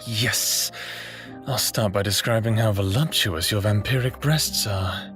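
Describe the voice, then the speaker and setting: Smartass human rebel, late thirties, male actor: unhurried, sardonic, audio drama over prominent music. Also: on the low side, natural voice. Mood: teasing. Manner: sardonic, teasing. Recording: music behind dramatic dialogue.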